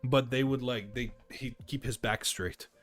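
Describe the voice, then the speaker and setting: A young man in casual, animated, slangy chat, swearing freely as he tells stories. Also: mid-range voice, measured pace, soft background music. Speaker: podcast host.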